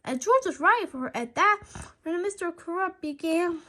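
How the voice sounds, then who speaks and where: A woman's voice: very high voice, expressive, measured pace, sharp tone. A woman reading a children's chapter book aloud dramatically, stumbling over words and rereading them. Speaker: storybook reader.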